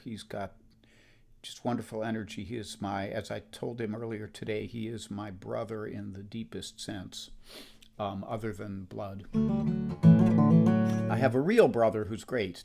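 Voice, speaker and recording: A man in his seventies with a croaky voice, chatting casually and in a rambling, self-deprecating way between songs on his live stream. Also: fairly low pitch. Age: 70s. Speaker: musician hosting a live stream. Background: acoustic guitar.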